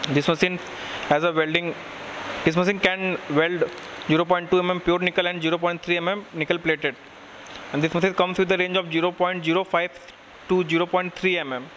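A young man, a technical engineer giving a plain product demonstration with halting, restarting explanations in a workshop. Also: a little high, fairly bright tone. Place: workshop with machine on a green bench. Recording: noisy workshop room audio.

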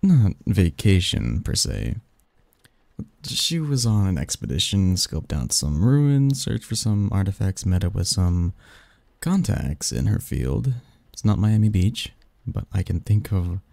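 A man's voice: low voice, gravelly, dry recording, full character voice. A man acting a snarky, cynical young research assistant in a scripted ASMR roleplay, with a wry, pedantic manner. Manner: wry, pedantic, halting mumbles and soft chuckles.

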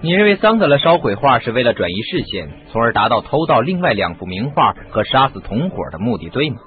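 A man's voice: mid-range voice, sing-song, fast, faint music behind, natural voice. An actor playing a confident, persuasive male sleuth in a radio drama. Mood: assured. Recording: muffled phone-like radio recording.

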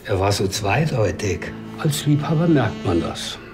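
An actor playing an earnest lover under suspicion in a television crime comedy. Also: male voice, on the low side, very animated, measured pace, darkish tone, faint music behind.

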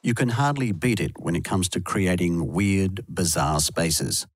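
A deep-voiced man delivers scripted tutorial narration. He explains things in a measured way, step by step. The voice-over is dry and close, with very little room around it. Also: no room sound. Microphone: close voice-over mic, dry studio sound.

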